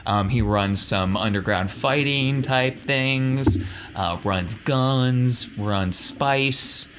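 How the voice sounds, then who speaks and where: A man's voice: medium pitch, drawn-out words. A man working as game master, improvising narration and rules in an informal, colloquial way. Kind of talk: improvised tabletop game narration and casual chat. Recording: clear background noise.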